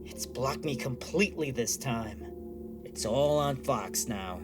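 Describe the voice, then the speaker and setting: A man's voice: mid-range voice, expressive, slow pace, over music. An actor playing an irritable, wisecracking male psychic who talks in old-time streetwise slang in an audio drama. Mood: resigned. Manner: slangy old-time wise guy phrasing.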